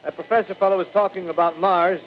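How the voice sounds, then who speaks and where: An actor playing a drowsy, rustic farmer in a radio drama, with a slow folksy drawl and plain country grammar. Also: male voice, high voice, fairly even intonation, slight background noise, close to an everyday voice. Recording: dull lo-fi radio recording.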